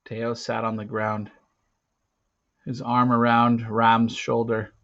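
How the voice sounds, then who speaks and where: A man narrating a storybook aloud theatrically, with dramatic pauses and swings between hushed and loud delivery. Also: medium pitch, completely flat delivery, dull audio.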